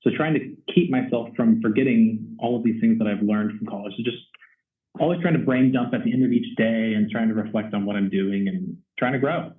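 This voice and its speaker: A man's voice: lowish voice, brisk. Young man on a distant, tinny call line. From Texas. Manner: rambling with many ums and restarts. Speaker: first-year choir teacher, guest. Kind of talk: casual interview chat. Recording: echoey, distant, phone-like audio.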